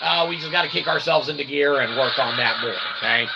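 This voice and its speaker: Male vlog host, creaky voice, loose rambling delivery, mock-grand wording, noisy setup. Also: medium pitch, fairly quick. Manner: wordy mock-formal phrasing with constant "okay" tags; self-deprecating. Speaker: independent channel host and developer. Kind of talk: rambling casual monologue to viewers.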